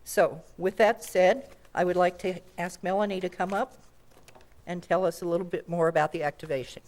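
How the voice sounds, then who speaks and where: A woman hosting a conference panel, reading prepared introductions in a measured, formal way. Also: lowish voice, steady tone, darkish tone. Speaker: event host introducing panelists. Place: conference room.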